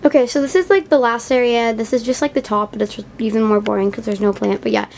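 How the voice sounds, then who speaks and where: This is a young female vlogger with an American accent and a slightly high voice. She talks in a chatty, informal way, sprinkling in "like" as she guides viewers through a casual tour at home. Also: fairly even intonation, fast, creaky, darkish tone, slight background noise. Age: young, child or teenager. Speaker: doll vlogger giving a house tour. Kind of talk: casual home vlog narration. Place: indoor room at home, behind the camera.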